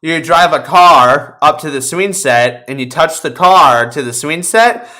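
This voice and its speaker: A man chatting casually about video games as a podcast host, telling animated stories with plenty of swearing. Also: on the high side, measured pace. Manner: profane, animated storytelling.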